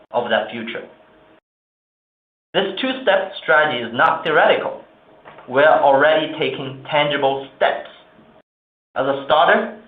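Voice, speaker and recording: A man speaking formally and in a measured way as a company executive on an earnings call. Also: mid-range voice. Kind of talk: earnings call remarks and answers. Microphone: conference call line. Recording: roomy, narrow phone-like conference line.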